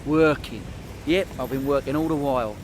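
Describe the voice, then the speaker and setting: A man's voice: mid-range voice, moderate pace, background noise, lightly acted. A man acting a cheeky, rambling small-time crook and cab driver with a London accent in a comedy radio play. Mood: defensive, indignant.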